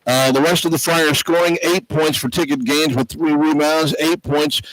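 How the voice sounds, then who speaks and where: A man's voice: on the high side, steady tone, normal speed, a bit bright, no room sound, rough recording. A man hosting a postgame basketball radio broadcast, reading out stats as a play-by-play commentator. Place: sports arena broadcast position.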